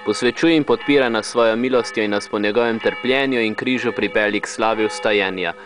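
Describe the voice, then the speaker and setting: Low-voiced priest with music behind. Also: male voice, fairly quick. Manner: hesitant, many fillers, laughs often. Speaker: priest host. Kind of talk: casual interview and prayer.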